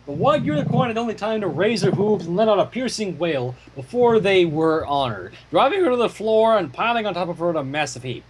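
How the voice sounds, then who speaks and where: Male reader, fan fiction narration. High-pitched for a man, very expressive delivery. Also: brisk, few pauses, a little hiss or hum, low-quality recording. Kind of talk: expressive storybook-style narration.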